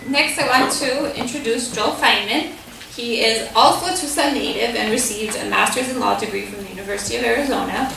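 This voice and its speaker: A woman's voice: a little high, fairly quick, creaky. A woman host reading formal introductions of speakers at a community forum. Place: school hall. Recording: very echoey hall, distant, noisy.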